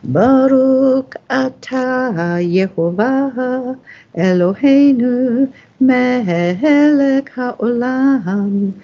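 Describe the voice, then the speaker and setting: A woman reading scripture and blessings in a deliberate, halting way, phrase by phrase. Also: on the high side, mellow. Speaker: scripture reader. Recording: dull, muffled lo-fi audio.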